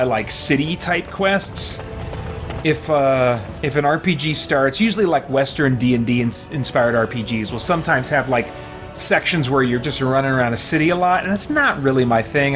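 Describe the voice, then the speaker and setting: A male game streamer with a mid-pitched voice gives casual commentary. He thinks out loud while music plays clearly behind him. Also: lively intonation, fairly quick. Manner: thinking aloud. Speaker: gaming streamer.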